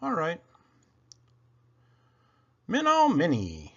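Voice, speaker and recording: A man with a husky voice performs children's poetry at an unhurried pace. His pitch swings dramatically as he leans into words with playful emphasis and pauses. The recording is a little dull and lo-fi. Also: wildly swooping pitch. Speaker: reader of children's poems for a read-aloud channel. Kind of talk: theatrical children's poetry read-aloud.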